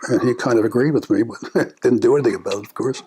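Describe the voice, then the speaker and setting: An older man being interviewed about his family, speaking in a reflective, unhurried way with frequent pauses and filler words. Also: mid-range voice, mellow, slightly rough. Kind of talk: family oral history interview.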